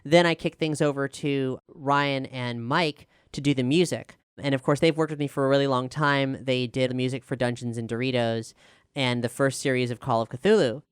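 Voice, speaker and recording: Showrunner on a casual Q&A panel: crisp, close dry sound, talks through process conversationally. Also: mid-range voice, steady tone, normal speed, dry recording. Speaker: showrunner and writer of an audio drama.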